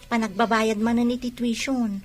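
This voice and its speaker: An actress with an Ilocano Filipino accent playing a concerned, questioning young student friend in a radio drama. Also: female voice, fairly high pitch, steady tone, moderate pace, faint music behind, close to an everyday voice.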